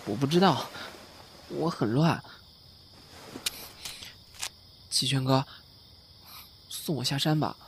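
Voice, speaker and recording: A man's voice: medium pitch, measured pace, a little hiss or hum, lightly acted. A man acting a shy, hesitant young man. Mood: confused. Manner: hesitant, deferential. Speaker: audio drama voice actor. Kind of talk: Chinese audio drama acting, soft dialogue.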